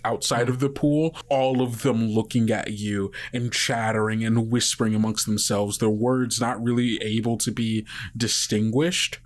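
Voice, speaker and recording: A man running a tabletop game as game master, improvising vivid narration in a halting, conversational way. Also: medium pitch.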